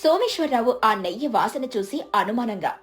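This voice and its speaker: Casting: female narrator for moral tales, high voice, faint music underneath. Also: lively intonation, moderate pace. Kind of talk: scripted moral story narration.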